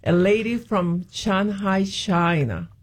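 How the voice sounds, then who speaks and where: A woman's voice: mid-range voice, expressive, slow pace, breathy edge. Hesitant woman guest. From Puerto Rico. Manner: hesitant, many ums and restarts. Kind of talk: interview, telling her faith story.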